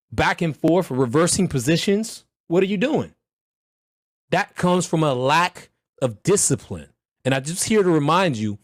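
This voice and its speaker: A man with a hoarse, recovering voice teaching a live trading mentorship session, talking in a loud, blunt, slangy, motivational way. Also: fairly high pitch, no echo, clean studio sound. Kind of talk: livestreamed mentorship talk.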